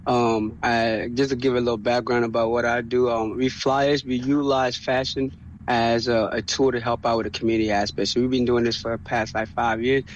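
A man's voice: mid-range voice, flat delivery, a little fast, muffled recording, a little hiss or hum. A man from Ghana, a guest describing his community work, who talks hesitantly with many fillers. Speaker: guest, community fashion organizer. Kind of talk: casual interview.

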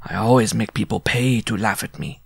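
A man voicing a proud, irascible, lovesick little French circus dwarf from a storybook, shrill and grandiose in manner. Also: normal speed, gravelly, husky, no room sound, studio quality. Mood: proud, stern. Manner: grandiose, theatrical, florid. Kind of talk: storybook character voice.

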